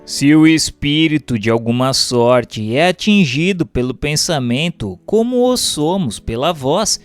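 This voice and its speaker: A man with a Brazilian accent giving a formal, measured, didactic scripted reading of a religious text as a narrator. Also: on the high side. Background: background music.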